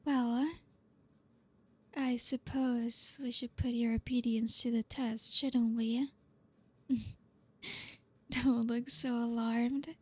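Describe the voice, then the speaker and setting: A woman playing a flirty, dominant boss in an ASMR roleplay, speaking softly and breathily close to the mic in a teasing, commanding way. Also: on the high side, flat delivery. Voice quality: soft, breathy, often near whisper. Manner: slow, commanding, teasing, with pauses. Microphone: close mic, dry.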